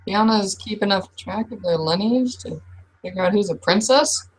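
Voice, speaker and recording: A woman running and playing a casual, improvised tabletop role-playing game, talking in a halting, informal way. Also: medium pitch, rough recording. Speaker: game master and player.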